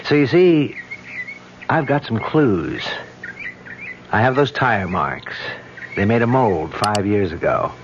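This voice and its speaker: A confident investigating husband, affectionate, explaining, low voice, muffled, hiss. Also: male voice, lively intonation, takes it slowly, pauses often. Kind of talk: 1970s radio drama acting. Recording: muffled old radio recording with hiss.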